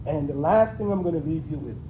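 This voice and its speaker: A man in his sixties from Trinidad and Tobago gives a motivational talk and keeps turning his points into questions. His voice sits high for a man. He is picked up from a distance in an echoey room with a clear noise bed behind him. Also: animated, fast talker. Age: 60s. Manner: keeps asking "right?" after points; plays with invented long words. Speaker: motivational speaker. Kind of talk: motivational talk to a sales team.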